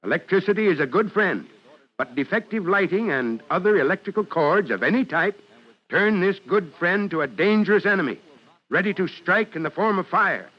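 An actor playing a brisk fire chief giving children a formal, commanding scripted radio address. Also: male voice, sits high, lively intonation. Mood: stern, instructive. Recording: dull vintage radio recording.

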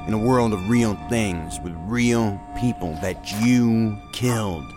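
Agent,: low, blunt, defiant, plain voice. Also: male voice, slow pace, music in the background. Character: tough, defiant male federal agent. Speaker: voice actor. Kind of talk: audio drama acting.

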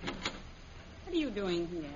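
An actress playing a guarded, sharp captive woman agent in a 1940s radio serial. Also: on the low side, big pitch swings, slow pace. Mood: wary, scolding. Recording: muffled, noisy old radio recording.

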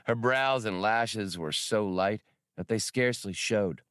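A man's voice: lowish voice, unhurried, a bit bright, clean tone, no room sound, studio quality. A man reading adventure fiction aloud as a scripted audiobook narration.

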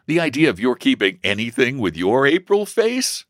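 A man's voice: medium pitch, big pitch swings, normal speed, no room sound, clean studio sound, voice pushed up, natural voice. A man voicing an agitated, dramatic and nervous young woman in a storybook reading. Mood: spiteful.

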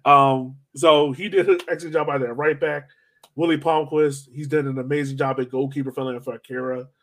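A man's voice: medium pitch, steady tone, a little fast, fairly bright tone. Casual American man talking soccer. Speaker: podcast co-host. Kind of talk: casual sports analysis chat.

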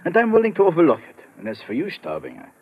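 An actor playing a crooked, haughty European town mayor in a 1950s radio drama, speaking in formal, precise phrases. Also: male voice, fairly high pitch, wildly swooping pitch, rapid-fire, slightly rough, narrow, tinny audio, full character voice. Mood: haughty, indignant. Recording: old radio drama recording.

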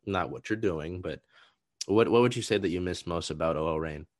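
A man with a very low voice hosts an interview. He asks long questions that loop back on themselves, with frequent fillers and restarts. The sound is dry and close. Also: brisk, no room sound. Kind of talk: conversational podcast interview.

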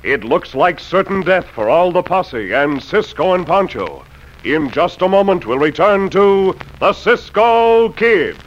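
A man's voice: sits high, sing-song, measured pace, background noise. Dramatic vintage radio announcer, American man. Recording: muffled old radio broadcast. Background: music.

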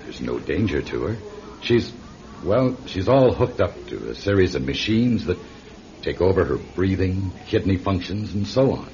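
Deep-voiced gravelly doctor, old radio. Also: male voice, very animated, a little mellow. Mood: gentle, careful. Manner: measured, reassuring, firm. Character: calm, reassuring male doctor, gently authoritative. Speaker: radio drama actor. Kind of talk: classic radio drama acting. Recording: dull, noisy old radio recording.

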